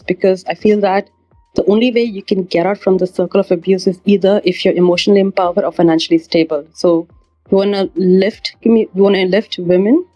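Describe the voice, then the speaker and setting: A woman's voice: mid-range voice, dark tone. A woman from India describing her project's goals as a guest on an online panel in an earnest, purposeful way. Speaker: guest, NFT project founder. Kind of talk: casual online panel talk. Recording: dull lo-fi online audio stream.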